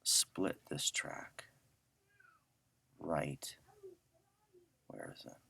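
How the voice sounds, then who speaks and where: A middle-aged man giving a casual audio software tutorial, talking informally and thinking aloud as he works. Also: extremely low pitch, steady tone, drawn-out words, vocal fry, breathy edge. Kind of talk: casual screen-share tutorial.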